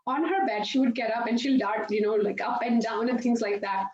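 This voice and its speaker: A bright, higher-pitched woman tells stories in a chatty way, laughing easily as she talks. She is on a video call from her home yoga studio. The room sounds echoey, and the audio is dull with faint hiss underneath. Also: fairly quick. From India. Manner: chatty and warm, laughs often while telling stories. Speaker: yoga and meditation instructor, guest. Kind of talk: casual interview storytelling.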